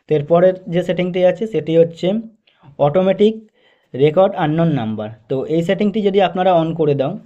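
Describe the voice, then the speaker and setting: A man with a fairly high, very mellow voice, guiding listeners through instructions step by step. He is methodical and repeats his phrasing as he goes. The recording is a slightly dull, lo-fi voice-over. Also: a little fast. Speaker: tech tutorial host. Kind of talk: step-by-step phone tutorial narration.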